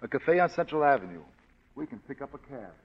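An actor playing a huge, slow, simple-spoken male ex-convict in a 1940s radio drama, talking bluntly and ungrammatically, on a dull lo-fi broadcast recording. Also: medium pitch, sing-song, husky. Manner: plain, blunt, ungrammatical speech.

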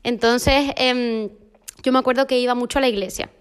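Una mujer joven con acento de España, invitada en un pódcast, charlando y contando anécdotas entre risas. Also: female voice, a little high, fairly even intonation, moderate pace, clean tone. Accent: Spain Spanish. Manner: chatty, laughing storyteller. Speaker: podcast guest. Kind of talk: casual conversation.

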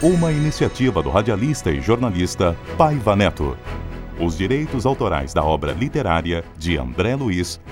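Announcer role: Brazilian man, lowish voice, big expressive swings, formal credit-reading over prominent music. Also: moderate pace. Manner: formal, emphatic. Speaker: radio announcer. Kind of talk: scripted radio credits announcement.

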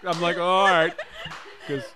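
A man's voice: high voice, moderate pace, crowd noise. A middle-aged American man chatting casually and comically, rambling and often correcting himself as he goes. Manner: animated, rambling, self-correcting. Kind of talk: casual comedic chat.

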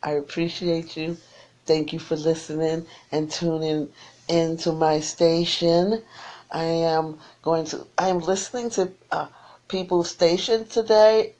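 A tired woman who is losing her voice, rambling through friendly shout-outs to listeners on her small internet radio station. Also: sits low, little pitch movement, a little slow. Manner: rambling and warm, repeats her sign-off.

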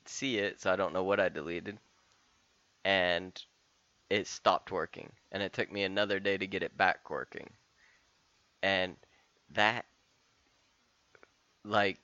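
Guy around thirty, rambly and sweary, lowish voice, kinda dull lo-fi sound. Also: bright, forceful tone, no echo. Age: 30s. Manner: rambling, frequent restarts and swearing. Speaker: podcast host. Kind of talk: casual personal monologue.

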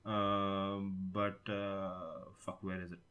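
A man with a low-pitched voice and an Indian accent hosts a tech podcast. He talks casually and informally, swearing freely, as in loose conversation among co-hosts. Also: level tone, slow pace, bright, forceful tone. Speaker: podcast host.

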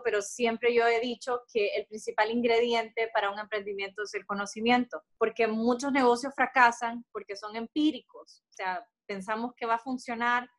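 A Honduran woman who owns a tea business, answering interview questions in an earnest, step-by-step way and giving advice. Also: on the high side. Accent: Honduran Spanish.

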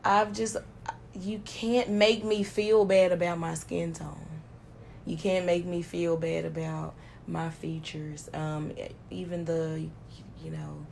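A woman's voice: on the low side, level tone, takes it slowly, vocal fry, darkish tone, slight background noise. A young woman co-hosting a podcast, telling stories with lots of ums and laughter in casual chat. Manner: laughing, storytelling with many ums. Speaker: podcast co-host.

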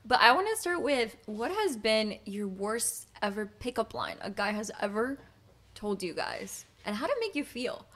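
A woman's voice: on the high side, expressive, normal speed, a bit bright. A young adult woman hosting a casual chat show, upbeat and teasing, laughing often between lines. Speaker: host.

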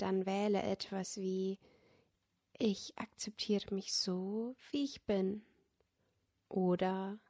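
A woman leading a guided yoga nidra relaxation as a meditation guide, speaking in a gentle, soothing manner that often drops close to a whisper. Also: mid-range voice. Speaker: meditation guide and podcast host.

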